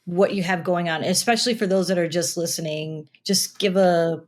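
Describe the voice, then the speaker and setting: A woman's voice: on the low side, fairly even intonation, normal speed. A woman hosting an interview, asking casual questions in a chatty way, full of "like" fillers. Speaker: podcast host.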